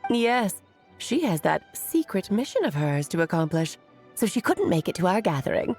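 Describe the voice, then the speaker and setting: A woman's voice: fairly low pitch, big pitch swings, normal speed, darkish tone, faint music behind. A woman voicing an elegant, gracious storytelling witch in a fantasy video game, refined and a little theatrical. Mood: gracious, reflective.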